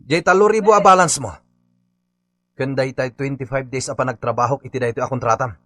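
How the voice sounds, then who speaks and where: A man's voice: medium pitch, a little fast, fairly bright tone, dry recording, clean studio sound, close to an everyday voice. A man playing a stubborn, aggrieved Filipino labourer in a radio comedy drama, insistent and accusing. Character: aggrieved, stubborn male labourer demanding his unpaid wages.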